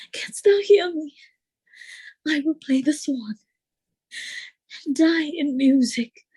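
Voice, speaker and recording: A fierce, heartbroken serving woman delivers Shakespearean verse for an audio drama. Her voice is high and her phrasing slow and drawn out, with an impassioned, outspoken edge. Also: dark tone, poor audio.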